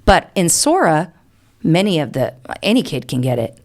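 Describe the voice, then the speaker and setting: A woman's voice: low voice, sing-song, normal speed. A middle-aged American woman answering as an interview guest, explaining things earnestly in a conversational way.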